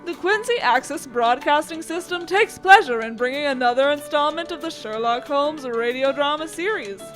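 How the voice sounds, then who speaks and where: A woman's voice: high-pitched, expressive, moderate pace, a bit bright, soft background music, low-quality recording. A woman playing a courteous, eager radio announcer, formal and polished in a 1940s style. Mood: upbeat, formal.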